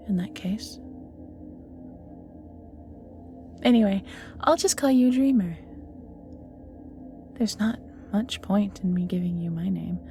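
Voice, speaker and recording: A woman's voice: medium pitch, dark tone, close to an everyday voice. A woman playing an ancient banished evil magical being in an audio roleplay, flirty and world-weary, musing theatrically and often sinking to near-whispers, with music behind. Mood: curious, teasing. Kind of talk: scripted audio roleplay acting, addressing the listener.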